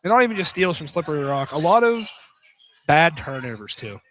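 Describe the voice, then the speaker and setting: A man calls a live basketball game for radio. His voice sits a little high, and he delivers the action in short, clipped phrases over slight background noise. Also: big pitch swings, a little fast, fairly bright tone. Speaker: play-by-play commentator.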